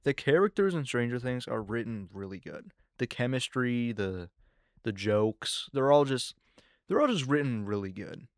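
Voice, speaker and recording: An eighteen-year-old man hosting his own podcast, rambling casually with lots of fillers and excited asides. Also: mid-range voice, normal speed, no echo, studio quality. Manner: rambling, filler-heavy, excitable teen talk. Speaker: podcast host. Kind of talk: casual solo podcast chat.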